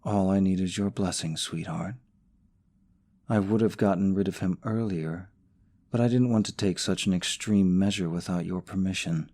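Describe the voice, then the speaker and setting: A man's voice: low-pitched, moderate pace, dark tone. A young man acting in an audio roleplay as a scheming, possessive boyfriend, falsely sweet and coaxing, snide about his rival. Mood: coaxing, menacing.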